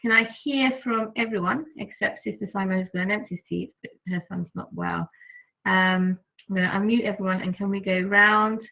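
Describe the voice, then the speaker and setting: A woman leading an online group course over a video call, talking in a gentle, soothing way. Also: mid-range voice, steady tone, moderate pace, gravelly. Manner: gentle, soothing, laughs easily. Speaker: course facilitator. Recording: tinny phone-like call audio.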